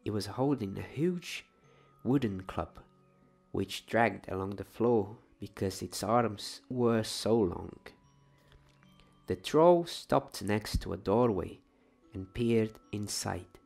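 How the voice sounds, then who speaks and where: Slow, creaky-voiced man reading a bedtime story. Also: fairly low pitch, faint music behind. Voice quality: soft-spoken. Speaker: ASMR storyteller and reader.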